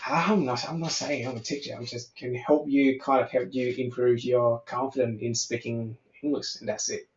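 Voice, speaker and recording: A man's voice: medium pitch, steady tone, moderate pace. A man from Vietnam speaks with a mixed accent that blends Vietnamese, American and Australian sounds. He talks casually and frankly, swearing now and then and restarting his thoughts. His voice sits in the middle of the male range, and the call audio is roomy and muffled. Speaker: English conversation tutor. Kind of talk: casual online chat.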